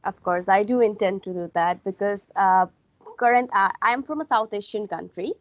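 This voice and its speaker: A woman's voice: mid-range voice, normal speed, no room sound. A young woman from Bangladesh talking over a video call. Her voice sounds dry and slightly muffled, and she speaks earnestly, often repeating her phrases. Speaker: graduate student. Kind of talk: casual academic discussion.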